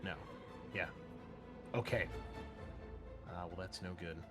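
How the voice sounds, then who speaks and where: A man running a tabletop roleplaying game as game master, explaining rules in a halting way and thinking aloud. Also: sits low. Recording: loud background music. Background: music.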